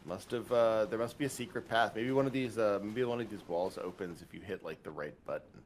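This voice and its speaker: A man's voice: on the low side, a little fast, slight background noise. A man talking casually as co-host of a video game commentary. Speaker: let's play podcast co-host.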